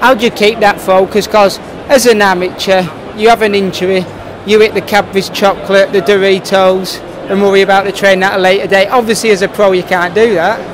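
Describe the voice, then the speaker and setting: A man's voice: high-pitched, little pitch movement, a little fast. A man asking questions as an interviewer in a casual, chatty style. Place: indoor trade show. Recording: noisy trade show hall, background ambience.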